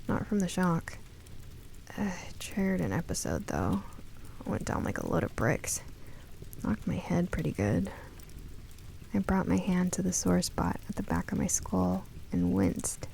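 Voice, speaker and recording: Woman acting as curious earnest young woman narrating spooky radio drama, soft mellow tone, really creaky voice. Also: medium pitch, a little hiss or hum. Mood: shaken, hesitant. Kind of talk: first-person scripted radio drama narration.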